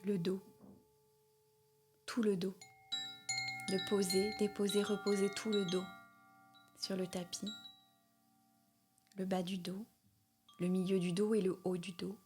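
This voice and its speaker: A woman leading a guided meditation as a yoga nidra guide, gentle and soothing, speaking in short phrases with long pauses. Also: mid-range voice, little pitch movement, over music.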